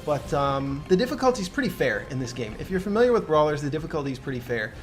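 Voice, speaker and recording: A man's voice: on the high side, very animated, quick pace, soft background music. A man hosting casual co-op gameplay commentary, explaining things in a somewhat hesitant way. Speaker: gaming channel host.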